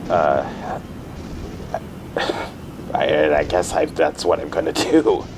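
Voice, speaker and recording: A man playing an uneasy man who lives alone, hesitant and halting, in a naturalistic audio drama phone conversation. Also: very deep voice, steady tone, noisy background. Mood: hesitant, bemused. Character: uneasy man living alone in a creepy house. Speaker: caller.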